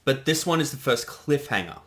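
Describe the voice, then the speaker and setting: A man's voice: medium pitch, expressive, fairly quick, a bit bright. A man giving a casual creator's commentary on a production he directed, chatting conversationally.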